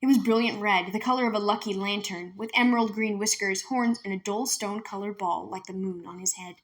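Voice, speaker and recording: A woman with a mid-pitched voice narrates a children's book. She reads as a storyteller, in scripted read-aloud prose. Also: steady tone, a little fast, low-quality recording.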